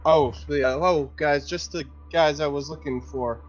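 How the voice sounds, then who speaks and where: A man's voice: on the high side, steady tone, measured pace, a little hiss or hum. A man playing a tabletop roleplaying game, casually improvising his lines in a hesitant, conversational way. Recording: slightly dull audio, faint music behind.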